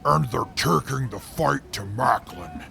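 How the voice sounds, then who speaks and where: A man playing a grave, deliberate wasteland veteran with a gravelly voice in an audio drama. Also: medium pitch, expressive, sharp tone, slight background noise, exaggerated voice. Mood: doubtful.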